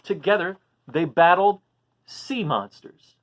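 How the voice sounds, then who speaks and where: A man hosting a children's read-aloud, expressive as he reads and chats, explaining things simply to young listeners. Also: high voice, takes it slowly. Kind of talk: animated storybook reading and casual chat to children.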